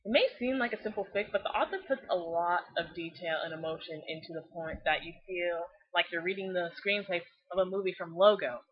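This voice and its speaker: A woman giving scripted but casual fan commentary in a blunt, slangy, opinionated way, with animated delivery. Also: on the low side, fairly quick, hardly any pauses, breathy edge. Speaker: fan video series host. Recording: slightly dull lo-fi recording.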